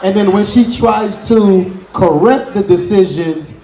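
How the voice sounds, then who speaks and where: A man's voice: high-pitched, unhurried, dark tone. A man explaining his view in an unscripted relationship discussion as one of the advisers. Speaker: panelist giving relationship advice. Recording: echoey room.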